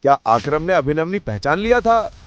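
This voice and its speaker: High-pitched male story narrator. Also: sing-song, moderate pace, highs cut off. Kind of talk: dramatic scripted story narration.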